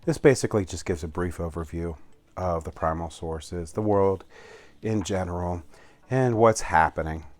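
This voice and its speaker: Male reviewer, low-pitched, casual and unscripted, halting delivery with frequent self-corrections. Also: a little mellow. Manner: halting, often correcting himself mid-sentence. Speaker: tabletop game reviewer. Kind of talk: casual unscripted product walkthrough.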